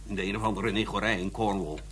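A man's voice: lowish voice, steady tone, fast talker, fairly bright tone, slight background noise, lightly acted. A man playing an irascible older colonel in a radio drama, with a curt, brusque and indignant manner. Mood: grudging, softening. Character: gruff, irascible older colonel, suspicious guardian uncle.

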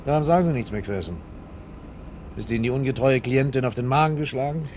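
An actor playing an exasperated, sarcastic police inspector with a blustering, scolding manner in a German radio crime drama. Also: male voice, medium pitch, brisk, darkish tone, natural voice. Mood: frustrated, brooding. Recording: noisy 1970s radio drama recording.

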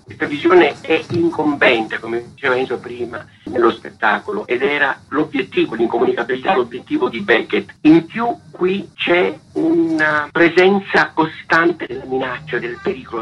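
A man's voice: medium pitch, normal speed, hardly any pauses, fairly bright tone, faint music behind. A man, a stage actor, giving reflective answers in an interview. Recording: dull radio recording, clear background noise.

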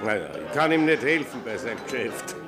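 A man's voice: mid-range voice, big pitch swings, a little fast, music in the background, natural voice. An actor playing a reluctant village auxiliary policeman with an Austrian accent in a radio drama. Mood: reluctant, dry. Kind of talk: dialect radio drama acting.

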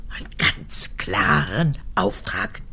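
Vain elderly mother, imperious and petulant, very husky, swinging wildly in pitch. Radio drama. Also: big pitch swings, bright, forceful tone, heavily acted. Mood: bitter, proud. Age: 80s. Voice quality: husky, cracked old voice, often falling to breathy whisper. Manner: theatrical, erratic, halting then snapping. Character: vain old mother, unrepentant former SS officer, capricious. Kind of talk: German radio drama acting.

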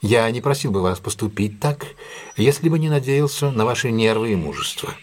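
An actor playing a shrewd, self-assured detective in an audio drama, with a husky voice and a brisk, imperious, theatrical manner. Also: male voice, mid-range voice, expressive, slight background noise, close to an everyday voice. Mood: commanding. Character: commanding, shrewd, self-assured male detective plotting a trap.

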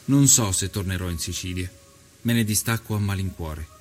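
A man narrates a scripted first-person historical account in a measured, literary, reflective way. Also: sits low. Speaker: narrator and storyteller. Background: ambient soundtrack.

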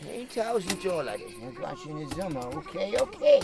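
A man playing a slow-witted, colloquial deputy, taking dictation in a comic radio play. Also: high-pitched, very animated, crowd noise. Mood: puzzled. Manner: colloquial, hesitant, easily puzzled.

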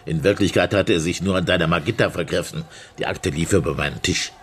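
An actor playing a sharp-tongued, mocking state prosecutor in a naturalistic German radio drama. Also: male voice, deep voice, fast, slight background noise, lightly acted. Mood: taunting. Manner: provocative, mocking. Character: sharp-tongued, needling male state prosecutor among old friends.

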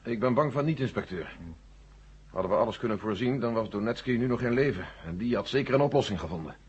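Grave military commander, extremely husky, mid-pitched, urgent, muffled vintage radio serial. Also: male voice, expressive, fast talker, a little mellow. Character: grave, urgent male general directing a rescue. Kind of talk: 1970 science fiction radio drama acting. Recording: muffled old radio drama recording.

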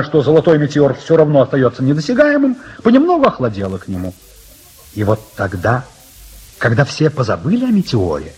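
A male actor narrating a satirical adventure radio play as a wry, dramatic storyteller with emphatic, measured pauses. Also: a little high, slightly rough, full character voice. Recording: radio recording with faint hiss.

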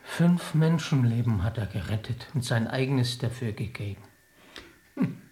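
An actor playing a solemn, paternal village schoolteacher in a German radio drama. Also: male voice, mid-range voice, animated, measured pace, warm tone, close to an everyday voice. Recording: echoey room.

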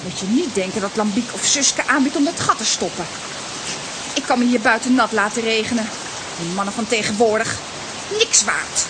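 A woman playing a plucky, bossy young girl in a children's audio drama, brisk and scolding. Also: a little high, close to an everyday voice. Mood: grumbling. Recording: noisy audio with rain behind.

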